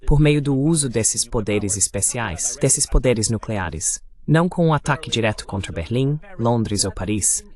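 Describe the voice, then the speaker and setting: A man with a medium-pitched voice speaks as an interview guest in a voice-over translation. He delivers political commentary in an explanatory interview format. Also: lively intonation, a little slow, hardly any pauses, darkish tone.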